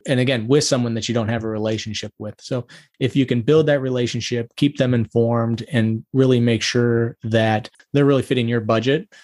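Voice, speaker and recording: A man's voice: mid-range voice, fairly even intonation, a little fast, a little mellow. Attorney guest, male, methodical and practical, asks question after question. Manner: methodical, lists questions one after another. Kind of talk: interview answers, advising.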